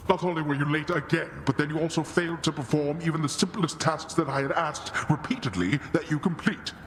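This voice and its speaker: A man's voice: on the high side, fairly quick, long reverb tail, a little hiss or hum, natural voice. A man voicing a stern, contemptuous male god of the dead scolding his son in a video game. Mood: scornful. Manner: cutting, contemptuous, commanding.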